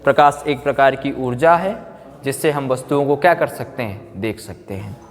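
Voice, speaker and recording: A man's voice: mid-range voice, normal speed. A man teaching a science class, repeating phrases, asking check questions and mixing in English technical terms. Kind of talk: classroom physics lecture for exam students. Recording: echoey room with long reverb.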